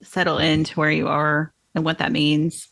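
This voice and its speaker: A woman's voice: low-pitched, completely flat delivery, normal speed, vocal fry, a bit bright, no room sound. A podcast host, a woman with a mild Southern American accent, chatting casually and telling anecdotes with frequent yeahs. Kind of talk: casual conversational interview.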